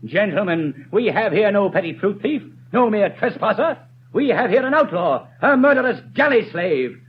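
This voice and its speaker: A stern prosecutor declaims his accusations with theatrical sweep. The voice sits high and moves a lot. It sounds dull and lo-fi, from an old radio broadcast. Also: sing-song, normal speed, a little hiss or hum. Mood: accusing. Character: stern courtroom prosecutor, man, middle-aged. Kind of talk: 1930s radio drama acting.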